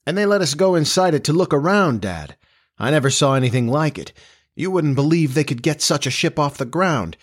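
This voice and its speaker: A man voicing an eager, starry-eyed nineteen-year-old farm boy in a storybook, with an excitable, folksy, boyish manner. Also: on the high side, a little fast, voice pushed up. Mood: excited, awed.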